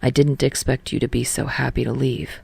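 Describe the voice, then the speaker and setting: A woman voicing a tall, calm young man, dry and teasing but quietly smitten, in a soft, understated audiobook reading. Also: extremely low pitch, vocal fry, breathy edge, dry recording, voice pushed down, exaggerated voice. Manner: understated, measured.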